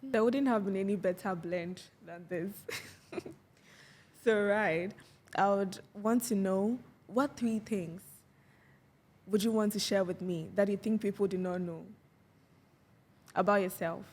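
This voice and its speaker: A woman hosts an interview podcast and asks her questions slowly and deliberately. Her voice sits in a middle range. While her guest talks, she listens and adds soft "mm" sounds of agreement. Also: medium pitch. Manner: attentive, frequent soft backchannels. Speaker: podcast host. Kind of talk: interview hosting.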